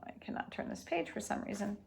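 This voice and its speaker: A woman's voice: medium pitch, expressive, fast, creaky, slight background noise. Woman reading a storybook aloud. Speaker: read-aloud host. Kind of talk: storybook read-aloud with casual asides.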